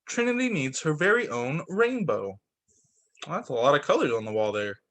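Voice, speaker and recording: A man reading a children's picture book aloud like a teacher at story time, expressive, with the odd stumble. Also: a little high, normal speed. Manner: expressive, voices the dialogue with slight shifts; stumbles and corrects himself while reading.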